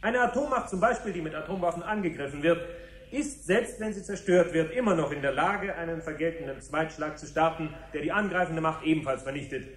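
An actor playing a brisk, formal official who explains things methodically in a 1970s German radio drama. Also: male voice, a little high, close to an everyday voice. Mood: formal, explanatory. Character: brisk, formal male official briefing on nuclear strategy.

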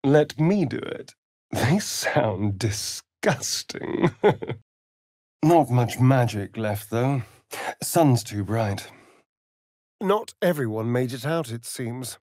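A man's voice: mid-range voice, animated, drawn-out words, long pauses, a little mellow, no room sound, clean studio sound. A man playing a vain, sardonic vampire rogue in a fantasy video game, with an arch, flamboyant drawl. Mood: wry.

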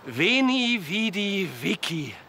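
An actor playing a pompous, boastful middle-aged teacher in a TV series, commanding and self-important. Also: male voice, high-pitched, big pitch swings, takes it slowly, sharp tone, slight background noise, exaggerated voice. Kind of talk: TV series acting. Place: outdoor castle courtyard. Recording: outdoor location sound with background ambience.

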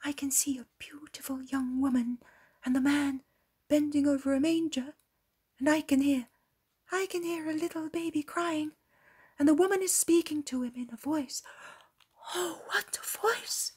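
A woman voicing a timid young shepherd boy in a breathless, hushed, awestruck way for a story. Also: sits high, steady tone, normal speed, pitched above the performer's own voice, close to an everyday voice. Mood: awed, hushed.

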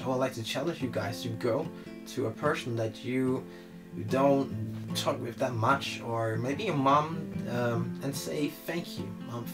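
Young male vlogger, mid-pitched, informal and a little halting, music underneath. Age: young adult. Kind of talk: casual chat to camera. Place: small indoor room.

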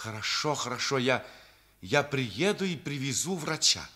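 A guilty middle-aged father, hesitant and defensive. His mid-pitched voice swings widely as he pleads, in the style of Soviet radio drama. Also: sing-song, moderate pace, sharp tone. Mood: evasive, uneasy. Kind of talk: Soviet radio play acting.

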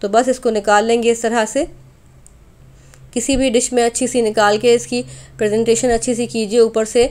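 A woman's voice: a little high, little pitch movement, normal speed, darkish tone. A woman hosting a cooking channel, narrating a recipe step by step in an instructional voice-over addressed straight to viewers.

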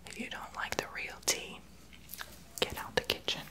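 A man's voice: moderate pace, natural voice. A young man voicing a sassy, unqualified therapist in a whispered ASMR roleplay. He whispers blunt, sarcastic remarks close to the mic in a small home office. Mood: scolding. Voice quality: soft unvoiced whisper. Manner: blunt, sarcastic, gossipy sass. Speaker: ASMR creator.

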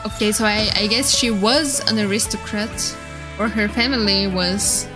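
A young woman streamer giving casual, excitable, gushing live reaction commentary. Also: medium pitch, moderate pace. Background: game trailer music.

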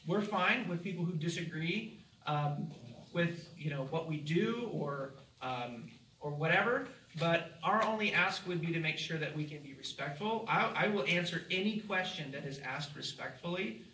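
A man addresses a crowd from a stage in a large hall, with a fairly high, bright voice. He is earnest and fills his pauses with ums. The sound is roomy and caught from far off by the camera. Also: a little hiss or hum. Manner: earnest, many filler ums, relaxed. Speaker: event organizer. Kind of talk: speech to an audience.

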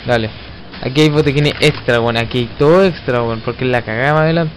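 A man's voice: medium pitch, fast, poor audio. A Chilean man chatting and calling out to teammates in a slangy, profane way as he plays an online shooter. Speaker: online co-op gamer leading the team. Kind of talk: casual gaming chat with team callouts. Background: zombie shooter game audio with music.

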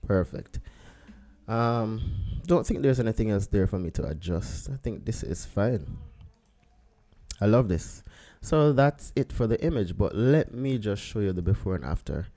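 Low-voiced man thinking aloud calmly. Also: measured pace. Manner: relaxed, thinking aloud while working. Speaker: photographer teaching photo editing. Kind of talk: screen-recorded software tutorial.

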